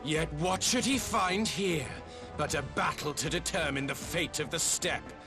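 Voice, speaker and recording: A man acting an imperious, grandiose warrior lord from a video game. He has a high voice and declaims slowly with theatrical pomp and archaic phrasing, music clearly behind him. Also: close to an everyday voice. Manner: pompous, theatrical, archaic speech.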